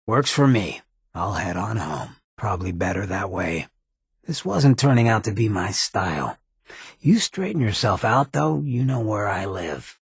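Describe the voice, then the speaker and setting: A roguish ghoul companion from a video game, with a heavily rasping, fairly low voice. He drawls street slang with laid-back swagger and sardonic loyalty. The sound is dry, with no room on it. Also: no room sound, exaggerated voice. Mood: resigned. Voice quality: gravelly, raspy rasp.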